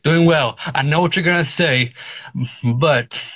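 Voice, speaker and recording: A man's voice: on the high side, measured pace, fairly bright tone, low-quality recording. A man calling in to a chat show, talking with a slight stutter in a hesitant, apologetic way that repeats itself, over a call line that breaks up. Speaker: caller.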